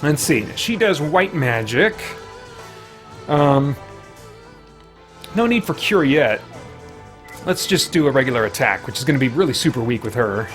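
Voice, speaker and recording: A man's voice: medium pitch, expressive, a little slow, pauses often, fairly bright tone. A man giving casual game commentary as a streamer, talking to his viewers. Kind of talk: reads game dialogue aloud. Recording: music playing behind the voice. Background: music.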